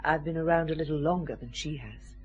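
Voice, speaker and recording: Husky, sardonic businesswoman. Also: sits low, brisk, a little mellow. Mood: sardonic, sharp. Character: worldly, sardonic, flirtatious woman company director. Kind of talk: naturalistic radio drama acting.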